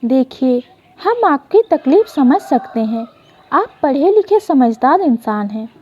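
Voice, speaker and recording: A woman's voice: sits high, very animated, measured pace, highs cut off. A woman voicing a grave, formal hospital doctor in a dramatic story, explaining and reassuring.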